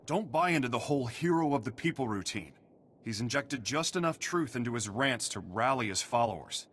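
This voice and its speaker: An actor playing a gruff, duty-bound prison station officer in a video game, giving brisk military briefings. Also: male voice, mid-range voice, lively intonation, a bit bright, a little hiss or hum, close to an everyday voice. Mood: stern, urgent.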